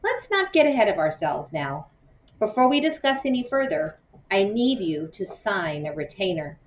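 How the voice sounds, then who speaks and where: A woman's voice: medium pitch, very animated, moderate pace, highs cut off, lightly acted. A woman voicing a dismissive, businesslike older male lawyer in a children's novel read-aloud. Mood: curt. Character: curt, money-minded older male immigration lawyer.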